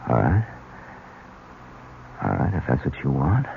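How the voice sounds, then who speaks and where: An actor in a 1970s radio mystery playing a scheming, nervous nephew with an edgy, sardonic manner. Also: male voice, extremely low pitch, big pitch swings, unhurried, creaky, heavily acted. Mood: rattled. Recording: tinny, noisy old radio recording.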